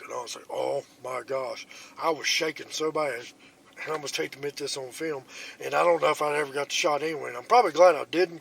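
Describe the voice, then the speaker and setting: Guy on his phone in the woods, rambling hunt story or hushed whisper, faint outdoor hiss. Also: fairly high pitch, creaky. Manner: hushed whispering in tree stand. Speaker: bowhunting vlogger. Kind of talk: casual hunting vlog.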